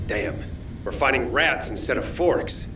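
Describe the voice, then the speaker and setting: An actor playing a casual, sardonic veteran fighter in a video game, with a dry joking manner. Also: male voice, medium pitch, lively intonation, measured pace, over music, lightly acted. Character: wry, laid-back veteran male fighter, Blair. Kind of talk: video game dramatic acting.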